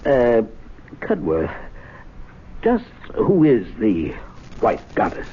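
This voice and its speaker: An actor playing a fussy, naive gentleman club member in old-time radio drama, earnest and halting in his speech. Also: medium pitch, huge pitch swings, exaggerated voice. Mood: hesitant curiosity. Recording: tinny old radio, faint noise.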